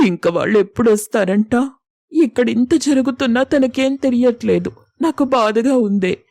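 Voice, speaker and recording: An elderly village mother acted by a woman in an audio story speaks with a soft, dark-edged tone. She is naive and anxious, pleading plaintively. The sound is dry and close. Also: a little high, moderate pace, dry recording. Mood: tearful worry.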